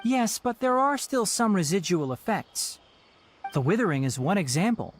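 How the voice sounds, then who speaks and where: Courteous young forest ranger, expressive delivery. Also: male voice, high voice, a little hiss or hum. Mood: calm. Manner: measured, polite, articulate. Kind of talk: video game dialogue acting. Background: background music.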